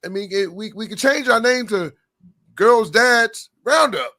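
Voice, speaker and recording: A man co-hosting a casual high school basketball podcast, a little hoarse and rambling, repeating words as he goes. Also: animated, measured pace, pushed and bright. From Oklahoma. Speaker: podcast co-host. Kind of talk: casual sports chat.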